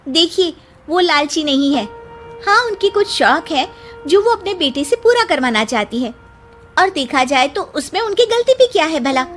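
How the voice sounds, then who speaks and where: A woman plays a short young daughter-in-law from a cartoon tale. She is spirited, self-assured and outspoken. Her voice sits high and swings widely in pitch, with soft music behind it. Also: big pitch swings, moderate pace. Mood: indignant, defensive. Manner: emphatic, outspoken. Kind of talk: animated moral-story cartoon dialogue.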